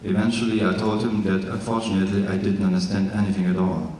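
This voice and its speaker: A German-accented man reads his memoir aloud before a live audience in a large hall. His voice sits fairly low and comes from some distance off the microphone, carried by a long, booming echo. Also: little pitch movement, a little mellow, a little hiss or hum. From East Germany. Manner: careful, slightly halting reading with jokey asides. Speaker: author reading his memoir. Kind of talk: live literary reading. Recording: echoey hall from far off.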